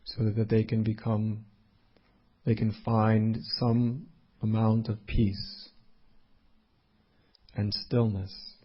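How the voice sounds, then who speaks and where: A man leading a guided meditation as a teacher, speaking unhurriedly in short phrases with long pauses. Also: fairly low pitch, level tone, slightly rough, muffled recording.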